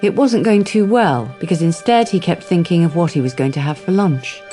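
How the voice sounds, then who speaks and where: Radio drama narrator over soft music. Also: mid-range voice, expressive, normal speed.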